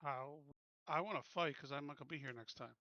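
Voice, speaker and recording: A man's voice: fairly high pitch, fairly quick, bright, forceful tone, dry recording, muffled recording. A man chatting casually as a player in a tabletop game, blunt and informal in how he talks. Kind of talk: casual chat during a game.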